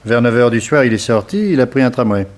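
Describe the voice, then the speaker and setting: Actor playing a detective's assistant, mid-pitched. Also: male voice, expressive, fast, close to an everyday voice. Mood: matter-of-fact. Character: detective's male assistant reporting briskly on a shadowing job. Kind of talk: 1960s French radio detective drama acting.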